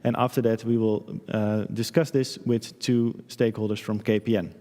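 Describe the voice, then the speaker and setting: Male host, monotone, halting, reverberant chapel. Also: fairly low pitch. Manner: hesitant, many fillers. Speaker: event host, moderator. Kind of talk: moderating a livestream event.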